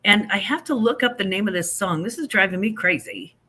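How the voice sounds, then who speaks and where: An older woman chats on a livestream, rambling from one thought to the next and poking fun at herself as she answers viewers. Her voice is bright and forceful, and she sounds a little stuffy from allergies. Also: medium pitch, expressive, fairly quick, husky. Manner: chatty, rambling, self-deprecating, reads and answers chat. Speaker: livestream host. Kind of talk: casual livestream chat.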